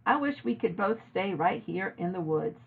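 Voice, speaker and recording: Thin lo-fi audio, woman, husky creaky voice, storybook read-aloud, expressive narration. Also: mid-range voice, moderate pace. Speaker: teacher reading a chapter aloud. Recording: narrow, phone-like lo-fi audio.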